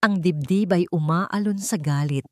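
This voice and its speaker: Low-pitched woman narrating a drama. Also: a little slow, mellow, dry recording. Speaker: story narrator. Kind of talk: dramatic scripted narration of a Tagalog love story.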